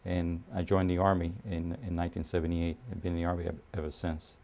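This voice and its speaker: A man in his fifties from Puerto Rico, raised in New York, speaking as an army officer in a documentary interview, measured with frequent pauses and fillers. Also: low-pitched, completely flat delivery, gravelly, over loud music. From Puerto Rico, raised in New York City. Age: 50s.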